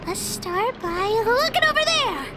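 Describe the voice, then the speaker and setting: A woman voicing a bossy, excitable little girl who leads a gang of kids, self-important and cheeky, in a video game. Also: very high voice, unhurried, very noisy, exaggerated voice. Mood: confident, brisk. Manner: self-important, cheeky, refers to herself by name. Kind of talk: video game character voice acting. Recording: music under the voice. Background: background music.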